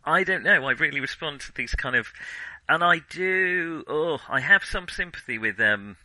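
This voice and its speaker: Bloke on a film podcast, really bright crisp edge, close dry sound, hesitant chatty delivery. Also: male voice, on the high side, animated, normal speed, no echo, rough recording. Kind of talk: casual film discussion.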